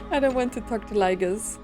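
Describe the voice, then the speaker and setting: A woman's voice: a little high, very animated, measured pace, mellow, soft background music. A woman giving casual playthrough commentary on a game, thinking aloud in a musing, tentative way. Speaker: gaming content creator.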